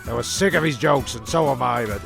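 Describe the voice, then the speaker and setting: A man with a strongly gravelly, creaky voice narrates a comedy radio play. He swears freely and trips over repeated words, with music clearly audible behind him. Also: mid-range voice, brisk. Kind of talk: sweary scripted comedy banter and recap.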